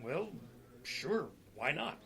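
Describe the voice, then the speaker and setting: A man's voice: on the high side, big pitch swings, takes it slowly, creaky, fairly bright tone, husky, full character voice. A man playing a folksy, blustery, cagey police detective in an old-time style radio play. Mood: amused, cagey.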